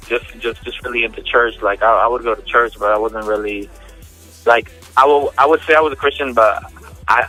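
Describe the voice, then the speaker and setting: A man telling his personal testimony in a casual, slangy interview, halting and repeating words as he goes. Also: mid-range voice, bright, forceful tone, rough recording. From United States, born in New York, raised in Miami. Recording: phone interview with music behind.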